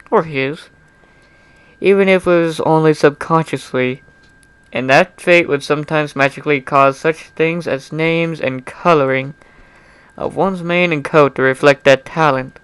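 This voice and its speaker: Slow-paced young man reading aloud, clear voice. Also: fairly high pitch, fairly bright tone, dry recording, poor audio. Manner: informal, self-interrupting, apologetic. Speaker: fan fiction reader. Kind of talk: dramatic amateur reading with chatty asides.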